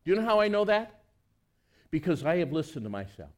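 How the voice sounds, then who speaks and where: A man preaching in a relaxed, talky way, firing off rhetorical questions at his congregation. His voice sits a little high for a man and swings widely in pitch, climbing when he gets worked up and dropping low when he reads scripture. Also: brisk. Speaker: pastor. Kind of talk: sermon.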